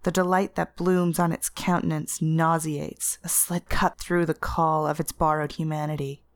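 A woman reading literary first-person fiction as narrator, with an intense, deliberate and expressive dramatic delivery. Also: sits low, dry recording. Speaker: story narrator.

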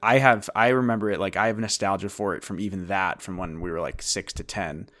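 A young man in casual chat who restarts his sentences and stumbles along. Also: deep voice. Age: young adult. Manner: halting, restarts often, lively.

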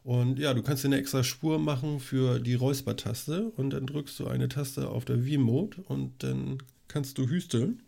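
A man's voice: mid-range voice, moderate pace, vocal fry, hardly any pauses, dark tone. A man hosting a casual tech podcast, chatty and rambling, with a cold that makes him cough. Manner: chatty, rambling with many fillers. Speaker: podcast host. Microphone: studio microphone on a long cable.

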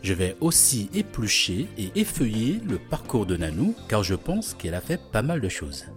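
A man's voice: lowish voice, big pitch swings, normal speed, faint music behind. A man hosting a food podcast, who narrates and interviews in a friendly, curious way. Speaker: host.

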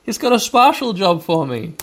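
A man's voice: high voice, big pitch swings, moderate pace, fairly bright tone, slight background noise. A man hosting a podcast, giving chatty, wry running commentary as he plays a video game for listeners. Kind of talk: narrating gameplay to listeners.

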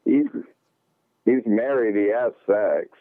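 A man's voice: on the low side, slow, dry recording. A man in his forties, calling in to the show and trading profane, joking banter. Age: 40s. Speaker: regular co-host on a call-in. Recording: tinny phone line.

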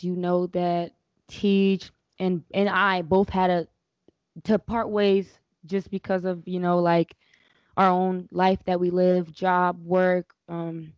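A woman's voice: fairly low pitch, dry recording. A woman hosting a podcast in casual chat, talking in easygoing slang with lots of fillers. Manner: laid-back slang, filler-heavy rambling. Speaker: podcast host. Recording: dry, muffled lo-fi audio.

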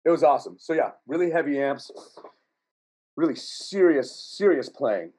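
A man's voice: fairly high pitch, lively intonation, normal speed, warm tone, breathy edge. A man talking as a musician guest in a casual podcast chat, rambling and profane, with frequent laughs.

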